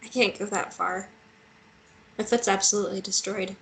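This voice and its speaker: A woman's voice: mid-range voice, fairly even intonation, normal speed, vocal fry, close to an everyday voice, poor audio. An actress playing a bold, teasing, injured young woman in a romance table read, with a naturalistic, playful delivery. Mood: frank.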